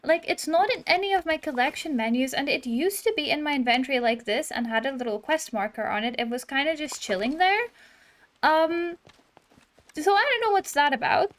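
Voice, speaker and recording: A young woman doing casual, chatty let's play commentary, thinking out loud as she goes. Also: sits high, expressive, fairly quick. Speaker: gaming YouTuber.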